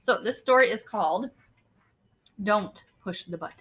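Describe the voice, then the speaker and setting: A woman reading a picture book to children, talking casually and directly to her listeners in an expressive way. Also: medium pitch, moderate pace, husky. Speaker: storybook reader. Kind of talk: children's read-aloud, casual address to young listeners.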